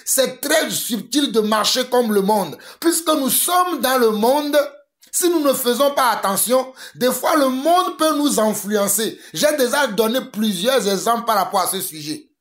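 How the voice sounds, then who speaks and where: A man's voice: very high-pitched, lively intonation, unhurried, a bit bright. A man from Togo, preaching a fervent sermon that mixes prayer with urgent exhortation and repetition. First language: a Togolese language.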